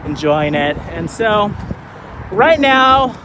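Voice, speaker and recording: Man, thirties, high voice, casual rambling vlog, outdoor road noise. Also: takes it slowly. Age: 30s. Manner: relaxed, rambling, many fillers. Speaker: vlogger. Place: outdoors by a lagoon, skating.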